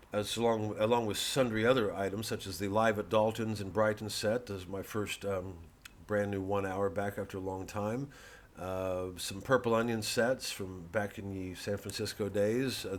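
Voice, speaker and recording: A middle-aged man, a comedian, talking casually and digressively about his work with a halting, wry delivery. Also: on the low side. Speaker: comedian promoting his own shows and albums. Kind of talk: rambling casual talk to camera.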